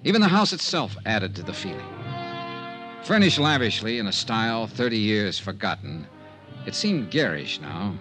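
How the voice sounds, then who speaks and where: A cool American radio detective of the 1950s. The man's voice sits fairly low and moves in lively contours. He sounds wry and conversational, with faint music behind him. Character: cool, wry hard-boiled male investigator.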